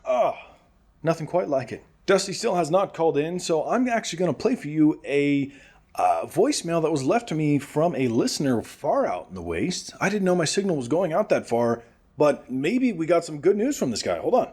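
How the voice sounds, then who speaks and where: An actor playing a friendly, nervous post-apocalyptic radio host, chatty and stammering, in a comedic audio drama. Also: male voice, fairly high pitch, very animated, normal speed, darkish tone, husky.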